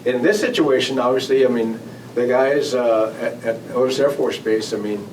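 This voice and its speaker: A man speaks informally at a meeting, often rephrasing as he explains things. He is some way from the microphone, and there is clear background noise. His voice sits at an average pitch. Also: darkish tone. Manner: halting, conversational, frequently rephrasing and repeating words. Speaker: former air traffic control manager, guest speaker. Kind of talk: informal presentation with audience questions. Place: club meeting room. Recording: distant mic, noisy meeting room.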